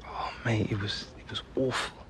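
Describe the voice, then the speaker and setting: A man's voice: lowish voice, steady tone, normal speed, fairly bright tone, in a whisper, natural voice. A young man with a British accent playing a guarded professional footballer in a naturalistic video game cutscene. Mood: downcast, hesitant. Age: young adult.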